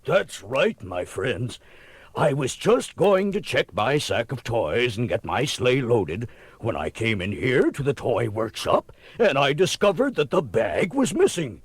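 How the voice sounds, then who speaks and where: A man's voice: medium pitch, sing-song, a little slow, slightly rough, dry recording, full character voice. An actor playing a kindly, fretful old man, lamenting dramatically in a cartoon audio drama. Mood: worried, earnest. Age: older.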